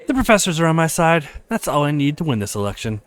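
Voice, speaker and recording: A man's voice: a little high, big pitch swings, quick pace, a faint crowd. A man voicing a stern, haughty woman professor in a visual novel, with an imperious, scolding manner. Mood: indignant, scolding. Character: stern, haughty female professor, furious at troublemakers.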